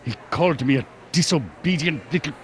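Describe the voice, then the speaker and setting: A man's voice: on the high side, animated, brisk, close to an everyday voice. An actor voicing a stern, proud, older immigrant father in a video game, with an emphatic, blustering manner. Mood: regretful.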